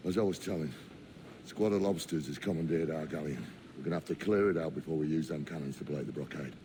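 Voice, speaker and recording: An actor playing a bitter, hot-tempered, foul-mouthed pirate captain with a gravelly voice in a video game cutscene. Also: male voice, a bass voice, fast, warm tone, slight background noise. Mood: commanding.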